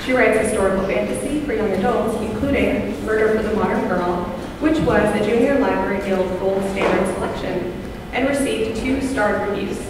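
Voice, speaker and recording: A woman's voice: mid-range voice, normal speed. A woman reads panel introductions from notes at a podium in a large wood-panelled hall. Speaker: festival committee member introducing a panel. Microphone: podium microphone. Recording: echoey hall, heard from a distance, background noise.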